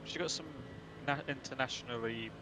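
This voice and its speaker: A man's voice: mid-range voice, a little fast. A man, chatting casually and asking curious questions. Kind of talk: casual chat. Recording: background noise from game audio.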